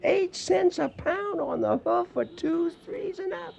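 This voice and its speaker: An actor playing a folksy, excitable cowboy in a radio western, with a crackly voice and an American Western drawl. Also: male voice, very high-pitched, unhurried, gravelly, exaggerated voice. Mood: eager. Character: folksy, excitable cowboy spinning trail yarns. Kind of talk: radio western acting and narration.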